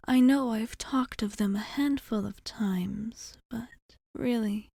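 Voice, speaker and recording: A woman voicing a courteous, teasing vampire bartender in an ASMR roleplay, with a soft, breathy half-whisper and measured, formal phrasing. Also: a little high, no room sound, clean studio sound, heavily acted. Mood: earnest, measured. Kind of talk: ASMR roleplay, intimate monologue.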